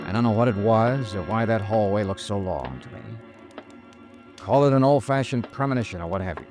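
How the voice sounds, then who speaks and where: An actor with an American accent playing a tough, dogged, wry insurance investigator in a 1950s radio detective drama. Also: male voice, lowish voice, fairly quick, music in the background, lightly acted. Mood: somber, reflective.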